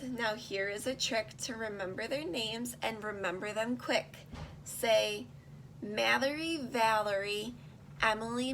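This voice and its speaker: Woman, unhurried children's read-aloud, creaky voice, simple teacherly explaining, faint background noise. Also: a little high, fairly bright tone, poor audio. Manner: slow, simple, child-directed explaining with questions to listeners. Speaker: reader of a children's book, teaching young listeners. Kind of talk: read-aloud of a rhyming picture book with casual asides.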